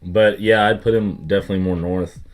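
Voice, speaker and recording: A man's voice: deep voice, steady tone, a little fast. A young man with a light Southern US accent, co-hosting a casual chat and rambling with lots of fillers. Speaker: co-host. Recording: faint music underneath.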